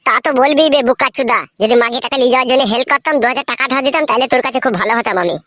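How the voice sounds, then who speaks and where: Man doing a squeaky, fast-scolding cartoon buddy. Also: pushed and bright, dry recording, clean studio sound. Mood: fed up. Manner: foul-mouthed, hectoring. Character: scolding, exasperated young male friend. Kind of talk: crude comedy cartoon dialogue.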